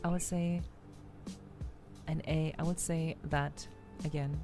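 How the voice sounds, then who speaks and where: A woman hosting a livestream, chatting casually with viewers in a halting, measured way, often repeating words as she thinks. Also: low-pitched, level tone, over music. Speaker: VTuber livestream host.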